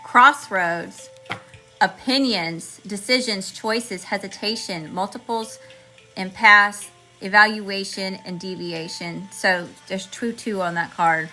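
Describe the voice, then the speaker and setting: A woman with a sharp, bright voice reads tarot for her viewers in a loose, chatty way. She rattles off card meanings one word at a time, her voice going hoarse, over faint music in the background. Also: mid-range voice, fairly even intonation, takes it slowly, poor audio. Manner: chatty and slangy, addresses viewers as y'all, lists card meanings in word runs. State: losing her voice. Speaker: tarot reader channeling messages. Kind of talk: casual spiritual reading to viewers.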